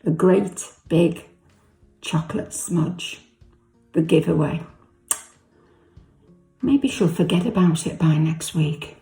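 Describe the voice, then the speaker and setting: A woman from a school's staff giving an expressive poem recital for children. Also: sits low, slow, vocal fry, long pauses. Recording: echoey room, some music.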